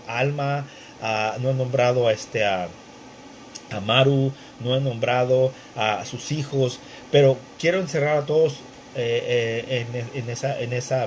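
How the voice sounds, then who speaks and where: A man teaching the Bible from his bedroom at home, talking haltingly with many fillers and restarts while wearing headphones. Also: mid-range voice, darkish tone, a little hiss or hum. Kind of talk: conversational Bible study intro.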